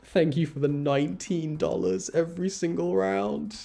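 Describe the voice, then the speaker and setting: A man's voice: on the high side, normal speed, creaky, dark tone, faint music behind. A man giving casual, chatty live game commentary, thinking aloud and correcting himself with mild exasperation. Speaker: game streamer.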